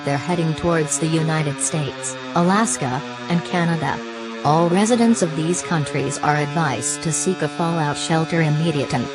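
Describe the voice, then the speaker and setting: A synthetic text-to-speech woman's voice reading a scripted emergency broadcast in a flat, formal, impersonal manner. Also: sits low. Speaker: emergency alert announcer.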